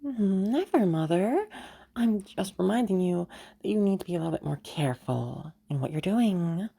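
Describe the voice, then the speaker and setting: Grown daughter for audio drama, played by a woman: defiant, sarcastic, pointed, with a low, creaky voice. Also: expressive, moderate pace, warm tone, heavily acted. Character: defiant grown daughter standing up to her mother. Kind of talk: amateur audio roleplay acting.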